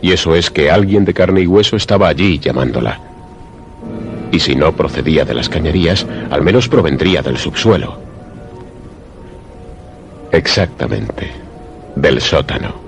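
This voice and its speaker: A man narrating a scripted suspense radio play in a measured, literary storytelling manner. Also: rumbling low, animated, gravelly. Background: music under the voice.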